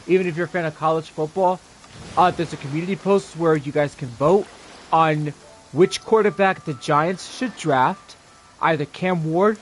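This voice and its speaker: A male game streamer with a slightly high voice talks casually to viewers. He rambles, stops and starts, and circles back over phrases. Soft music sits in the background. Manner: rambling, halting, repeats himself. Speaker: game livestreamer. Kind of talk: casual livestream chat.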